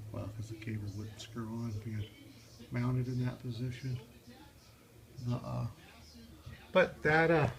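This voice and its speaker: Halting man giving a casual how-to walkthrough. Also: mid-range voice, vocal fry. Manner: halting, rambling, thinks aloud. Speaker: DIY car vlogger.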